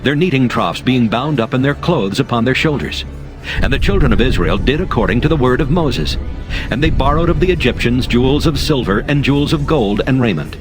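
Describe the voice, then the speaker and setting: A man narrating a dramatized scripture reading in a steady, formal manner over music. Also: mid-range voice, fairly quick. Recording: music under the voice.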